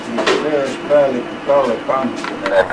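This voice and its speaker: A man's voice: medium pitch, heavy background noise. A man acting as a receiving radio operator, methodically repeating message phrases back.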